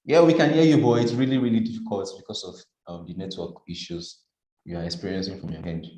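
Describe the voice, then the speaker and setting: A low-voiced man moderates an online training call from an echoey room. He keeps the session moving and hesitates often with ums. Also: steady tone, fast talker, warm tone. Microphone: video call.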